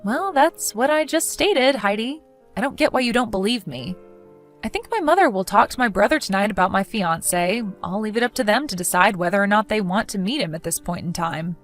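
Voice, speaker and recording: A woman playing a level-headed, quietly fed-up young woman with a dry, matter-of-fact manner in a dramatized story. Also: medium pitch, animated, fairly quick, soft background music, natural voice. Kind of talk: dramatized text-message story voice acting.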